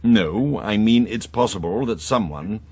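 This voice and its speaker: An actor playing a discreet, composed British intelligence officer in a radio drama, clipped, courteous and precise. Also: male voice, on the low side, animated, unhurried, darkish tone.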